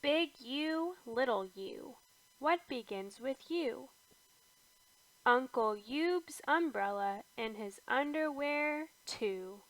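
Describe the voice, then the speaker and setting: A woman reads an alphabet picture book aloud for toddlers, her high voice rising on each capital letter and sinking to a near hush on the repeats. She takes everything very slowly, with lots of space between words. The sound is close and dry, with no room around it. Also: expressive, dry recording, poor audio. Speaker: children's book reader. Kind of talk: slow read-aloud for toddlers.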